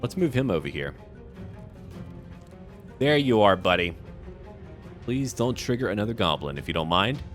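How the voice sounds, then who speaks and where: A man's voice: lowish voice, big pitch swings, normal speed, pauses often, faint music behind. A man giving casual let's-play commentary, thinking aloud and chatting to the characters on screen as he plays. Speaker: gaming video host playing and narrating.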